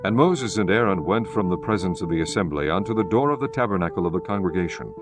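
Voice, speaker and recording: A man narrating an audio Bible in a solemn, formal, measured scripted style. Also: low-pitched, lively intonation, music in the background.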